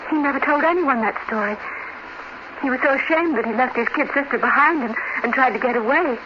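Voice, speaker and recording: A woman acting an anxious wife in a 1950s radio drama, earnest and expressive in her delivery. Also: high-pitched, fairly quick, lightly acted. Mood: concerned. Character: anxious young wife growing frightened of her husband. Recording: dull, noisy old radio recording.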